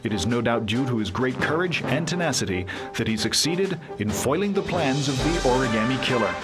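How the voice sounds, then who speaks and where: A man's voice: mid-range voice, expressive, music in the background, natural voice. A man playing a TV news anchor. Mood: formal. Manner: crisp, measured broadcast delivery. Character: male TV news anchor, formal and even. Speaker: newsreader. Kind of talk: scripted TV news read.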